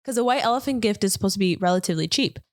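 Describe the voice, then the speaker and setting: Lively woman podcast co-host, dry sound. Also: mid-range voice, very fast, no room sound. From United States. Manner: animated storyteller, laughs often. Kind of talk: casual chat.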